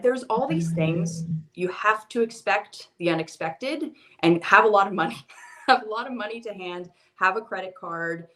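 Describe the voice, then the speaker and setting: A young woman with an American accent talks as a guest on a casual podcast. Her voice sits a little low for a woman. She tells her stories in a chatty, animated way. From United States.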